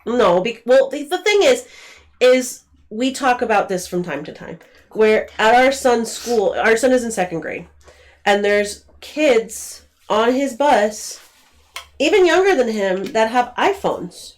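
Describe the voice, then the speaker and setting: A woman's voice: a little high, expressive, measured pace. A woman in her late twenties talking casually as a podcast co-host. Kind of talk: casual conversation.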